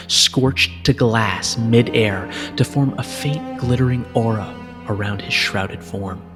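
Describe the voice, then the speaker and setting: Low-voiced male narrator over music. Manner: solemn, measured storytelling. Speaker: narrator reading fan fiction. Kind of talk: scripted dramatic narration.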